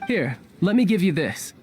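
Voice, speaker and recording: A man playing a charming, sly young villainous diplomat in a video game, breezy, teasing and smoothly persuasive. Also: high-pitched, a little hiss or hum, heavily acted. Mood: smooth, informative. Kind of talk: video game character acting. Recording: music behind the voice. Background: game music.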